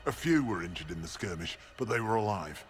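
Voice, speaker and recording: An actor playing a proud, devout mountain barbarian healer in a video game, speaking in terse, solemn lines. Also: male voice, fairly low pitch, expressive, fairly quick, slight background noise, close to an everyday voice. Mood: gruff, solemn.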